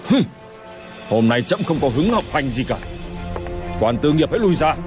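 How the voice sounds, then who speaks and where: An actor playing an irritable, dismissive young king in an animated historical drama, curt and imperious. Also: a little high, moderate pace, over music. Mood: irritated, dismissive.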